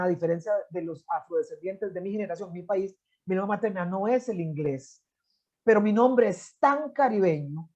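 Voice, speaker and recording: A middle-aged woman with a Costa Rican accent speaks in a warm, mellow voice, thoughtful and unhurried. She often restarts and fills pauses with "este" as she recounts things. The recording sounds a little dull and lo-fi. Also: mid-range voice. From Costa Rica. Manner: reflective, frequent restarts and filler "este". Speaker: interview guest, anthropologist and poet. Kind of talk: conversational storytelling.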